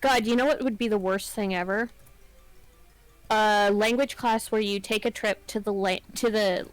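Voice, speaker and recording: A woman chatting casually with a friend, reacting quickly and swearing. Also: medium pitch, fairly even intonation, no room sound, faint music behind, rough recording. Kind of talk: casual chat.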